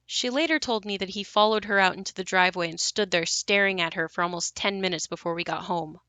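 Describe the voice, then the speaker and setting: An actress plays a young woman narrating memories of a haunted house in an audio drama. Her voice is clear-edged and bright, recorded close and dry. She confides in a matter-of-fact, wry and reflective way. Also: mid-range voice, fast talker, no echo, natural voice. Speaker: narrator and lead of an audio play. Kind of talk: first-person dramatic monologue.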